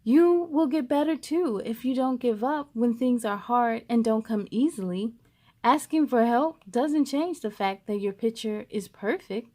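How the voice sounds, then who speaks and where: A woman voicing a kind, patient storybook uncle, gently and emphatically encouraging his young nephew. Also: fairly high pitch, lively intonation, measured pace.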